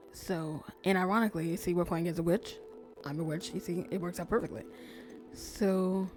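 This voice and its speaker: A woman streamer with a lowish voice chats casually into her desk mic as she plays, often trailing off soft and close to a whisper. Faint game music sits under her talk. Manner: chatty, soft-spoken, often drops to near whisper. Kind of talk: casual gameplay commentary.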